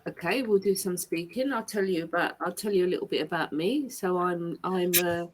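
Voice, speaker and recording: A woman's voice: on the low side, fairly quick, slight background noise, rough recording. A woman from England, tutoring a learner one to one and repeating and rephrasing her questions patiently. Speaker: English tutor. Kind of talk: online one-to-one English lesson.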